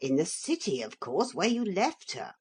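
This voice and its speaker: A woman's voice: lowish voice, huge pitch swings, moderate pace, a little mellow, breathy edge, exaggerated voice. A woman reading a storybook, voicing a small wooden figure come to life who explains things patiently and plainly. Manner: patient, matter-of-fact explaining. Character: calm, wise little wooden man come alive.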